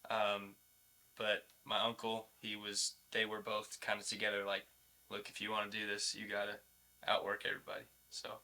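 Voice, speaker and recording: Young American man, very bright but monotone. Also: fairly low pitch, normal speed, low-quality recording. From West Coast, United States. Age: young adult. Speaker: college baseball player, podcast guest. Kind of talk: casual interview answers.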